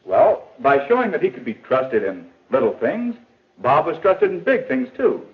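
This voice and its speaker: A patient father gently explains things to his son, his husky voice rising and falling in lively swings. The manner is reassuring and a little lecturing. The sound is dull and muffled, like an old film soundtrack. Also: on the high side, normal speed, heavily acted.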